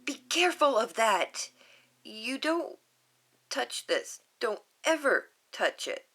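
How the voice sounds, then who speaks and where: A woman voicing an eager, stubborn little girl in a storybook reading, with a high childlike voice. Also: very animated, takes it slowly, a bit bright, exaggerated voice. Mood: eager pleading.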